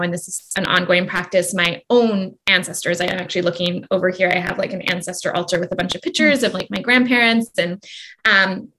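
A woman's voice: mid-range voice, fairly bright tone. A woman from Canada, speaking as an interview guest in a long, reflective way with many fillers and restarts. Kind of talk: conversational interview.

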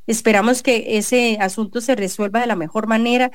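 A woman's voice: fairly high pitch, fairly even intonation, brisk, dry recording. A woman with a Costa Rican accent hosting a business radio show, giving an earnest editorial and interviewing a guest. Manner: earnest, many restarts and fillers. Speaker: radio show host and journalist.

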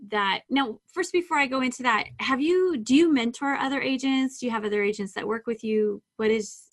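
A woman's voice: a little high, fairly quick. Woman podcast host asking questions. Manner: friendly, hesitant question phrasing with fillers. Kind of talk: interviewing a guest.

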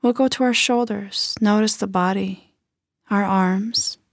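A woman leading a guided body scan meditation in a gentle, unhurried manner with soft phrase endings. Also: medium pitch, steady tone, creaky, no room sound, studio quality.

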